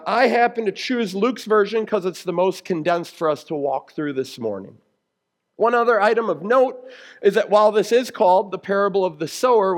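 A man preaching as a pastor, explaining scripture in a plain-spoken, conversational way with everyday examples. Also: sits high. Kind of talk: conversational expository sermon.

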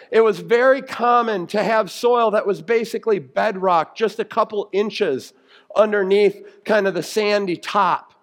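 A man preaching as a pastor, explaining scripture in a plain-spoken, conversational way with everyday examples. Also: high voice. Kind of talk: conversational expository sermon.

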